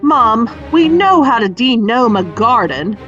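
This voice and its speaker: Lady doing a teen boy from a kids book, cheeky, whiny, bouncy pitch. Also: big pitch swings, measured pace, fairly bright tone, highs cut off, soft background music. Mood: groaning. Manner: cheeky, complaining. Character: cheeky teenage twin brothers, protesting and teasing.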